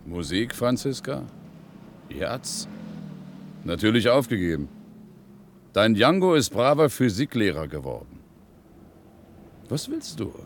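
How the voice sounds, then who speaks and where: An actor playing a resigned, probing friend with a questioning manner in a 1980s radio drama. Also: male voice, fairly low pitch, very animated, slow, pauses often, a little hiss or hum, heavily acted.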